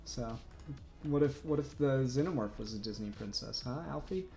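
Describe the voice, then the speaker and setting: A man's voice: medium pitch, moderate pace, creaky, mellow. A man live-streaming his 3D modelling work, talking casually and muttering to himself, a little self-conscious. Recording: echoey room, faint music behind.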